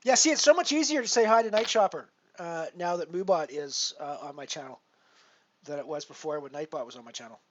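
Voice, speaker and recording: A man's voice: fairly high pitch, fairly quick. A man in his fifties with a Canadian accent from Alberta, casually commentating his poker stream and greeting viewers by name. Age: 50s. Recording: dull lo-fi stream audio with faint noise.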